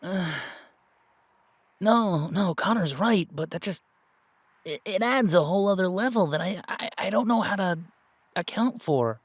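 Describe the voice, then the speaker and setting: Man as an anxious, hesitant young gamer, higher-pitched. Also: animated, a little slow, pauses often, no room sound. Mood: flustered self-doubt. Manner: hesitant, stammering, thinking aloud. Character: anxious, self-doubting young male gamer, earnest, reluctant tracker. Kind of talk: amateur audio roleplay drama.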